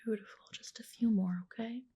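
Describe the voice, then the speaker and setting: A woman with a soft, breathy, whispering voice doing a gentle, reassuring ASMR roleplay as a spa practitioner, close to the microphone. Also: a little high, fairly even intonation, a little fast. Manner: gentle, reassuring client-care talk. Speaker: ASMR creator playing a medical spa practitioner. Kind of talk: ASMR roleplay, personal attention.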